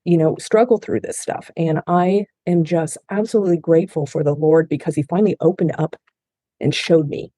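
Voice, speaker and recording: A woman's voice: deep voice, steady tone, a little fast, dark tone, no echo. A middle-aged woman who grew up in Japan and speaks English as a second language, telling her life story earnestly as a guest in an interview. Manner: earnest and heartfelt, with frequent restarts. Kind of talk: personal testimony in a podcast interview.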